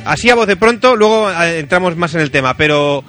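A man from Spain hosts a joking radio chat in a high, forceful, bright voice. He is playful and teasing, given to exclamations. Music plays behind him. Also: expressive, a little fast. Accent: Spanish from Spain. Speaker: radio show host.

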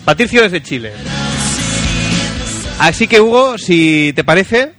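A man's voice: high voice, lively intonation, slow. A man with an accent from Spain hosting a casual radio chat show in a playful, teasing, exclamatory way. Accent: Spanish from Spain. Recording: music behind the voice.

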